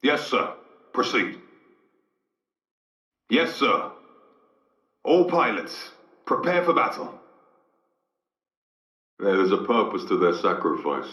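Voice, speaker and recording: Casting: authoritative middle-aged fleet commander for a sci-fi shooter game; clipped, formal delivery, slightly distant echoey sound. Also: male voice, on the low side, big pitch swings, slow, long pauses, bright, forceful tone, exaggerated voice. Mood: stern, measured. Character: stern, authoritative male military vice admiral commanding troops. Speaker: military commander. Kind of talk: video game military briefing voice acting.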